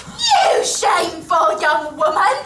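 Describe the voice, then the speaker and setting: A woman playing a blustering, scolding workhouse matron in an amateur radio play, loud, indignant and theatrical. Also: extremely high pitch, wildly swooping pitch, slow, full character voice. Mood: outraged. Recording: echoey hall, distant mic, faint noise.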